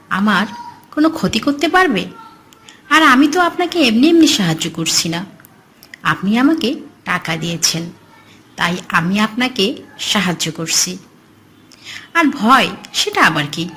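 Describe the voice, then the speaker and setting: A woman's voice: medium pitch, very animated, unhurried, pauses often, fairly bright tone. Woman voicing a blunt male graveyard guard, faint noise. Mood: scoffing. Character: gruff, fearless, money-minded male graveyard night guard. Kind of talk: horror cartoon storytelling.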